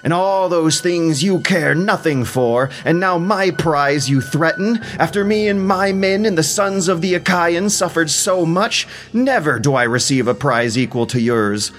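A man's voice: a little high, lively intonation, a little slow, few pauses, a bit bright, soft background music, voice pushed up. A man playing a proud young Greek warrior in an epic audio drama, impassioned, declamatory and scornful. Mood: bitter, defiant.

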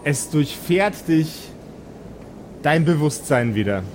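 A man improvising narration as a tabletop game master, halting and repeating his words. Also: fairly high pitch, expressive, darkish tone. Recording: clearly noisy background ambience.

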